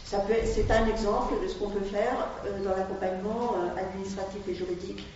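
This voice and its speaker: A woman's voice: mid-range voice, fairly even intonation, brisk, a little mellow. A woman explaining the work of an asylum aid group, speaking hesitantly with many fillers and restarts. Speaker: volunteer at an asylum-seeker aid association. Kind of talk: explanatory talk to an audience. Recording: distant, echoey, dull room sound with faint noise.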